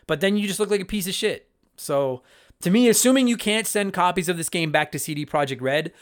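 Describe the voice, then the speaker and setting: A man's voice: fairly high pitch, fairly bright tone. A man in his thirties hosting a casual chat show, answering listener letters in a candid, profane, rambling way with lots of restarts.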